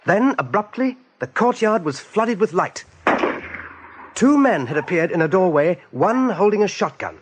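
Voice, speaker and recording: An actor narrating an animal adventure for a radio play in a measured, suspenseful storytelling manner. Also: male voice, high-pitched, sing-song, noisy background.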